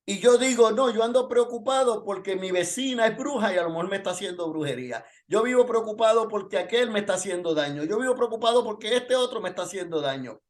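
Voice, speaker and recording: Male pastor, high-pitched voice, informal Bible-lesson delivery with testimony and pointed rhetorical questions. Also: expressive, fast talker, hardly any pauses.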